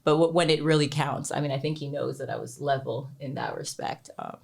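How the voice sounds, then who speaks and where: A woman's voice: extremely low pitch, a little fast, creaky, a little mellow. A young woman attorney from Texas, chatting casually as a podcast guest and laughing easily.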